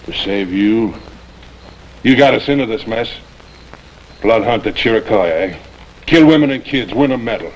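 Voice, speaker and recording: An actor playing a blunt, defiant civilian army scout with folksy frontier speech in a 1950s TV western. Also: male voice, fairly low pitch, lively intonation, normal speed, pauses often, lo-fi sound, a little hiss or hum, close to an everyday voice. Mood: accusing. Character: plain-spoken, defiant civilian army scout, protective and wary. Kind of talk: 1950s television western acting.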